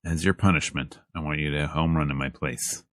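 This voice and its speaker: A man's voice: very deep voice, fairly even intonation, a little fast, no room sound, clean studio sound. A man voicing a bossy, teasing, easily embarrassed young woman from a visual novel in a demanding manner. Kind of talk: visual novel character reading.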